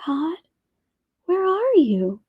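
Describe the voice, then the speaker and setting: Storybook child role read by a woman: curious, earnest little girl, hushed and wondering, lifting high on her questions, slow delivery. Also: big pitch swings, no room sound, narrow, tinny audio, heavily acted. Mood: hushed, searching. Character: curious young girl searching earnestly for God.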